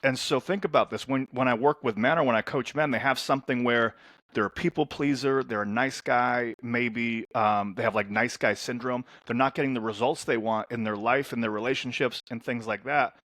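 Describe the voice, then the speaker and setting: A man's voice: medium pitch, fairly even intonation, moderate pace, fairly bright tone, no echo, studio quality. A man from Lebanon who grew up in the States, a coach talking as a podcast guest in an upbeat, storytelling way that often doubles words as he starts a sentence. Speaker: men's transformation coach, guest. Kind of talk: casual interview talk.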